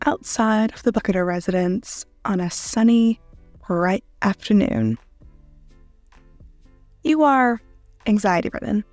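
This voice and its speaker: A woman narrating a scripted second-person story in a dramatic manner with deliberate pauses. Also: medium pitch, darkish tone, no echo. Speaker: narrator of fan fiction audio.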